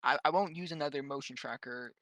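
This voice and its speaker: A man's voice: medium pitch, fast, fairly bright tone, dry recording. A man chatting casually as a player in a tabletop roleplaying game, playful and often restarting his sentences.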